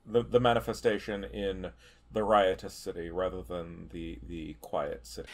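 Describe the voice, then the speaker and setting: Dry, halting male game player. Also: low-pitched, little pitch movement. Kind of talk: casual in-game improvised chat.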